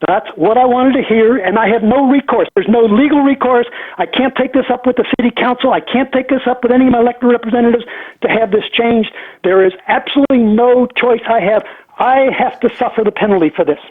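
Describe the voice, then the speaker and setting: A man's voice: extremely high pitch, fairly quick. Indignant man on a muffled phone line. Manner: indignant, insistent, repetitive, legalistic. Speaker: prank call recipient, homeowner. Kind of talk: phone argument.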